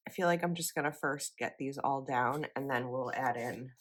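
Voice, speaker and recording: Low-voiced young woman, kinda froggy morning voice, chatty, lots of likes and ums. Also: moderate pace. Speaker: planner vlogger. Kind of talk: casual chat while decorating a planner.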